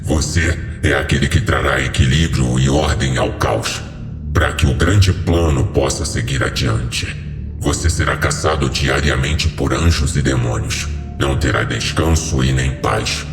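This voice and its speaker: An ancient fallen lord of demons speaks from a cavernous, long reverb. The voice is abyssally low and full of gravel, solemn and commanding, every phrase a stately pronouncement for a horror audio story. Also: male voice, unhurried, exaggerated voice. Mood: grave, reassuring. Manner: solemn, stately, portentous delivery. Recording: heavily reverberant voice over music.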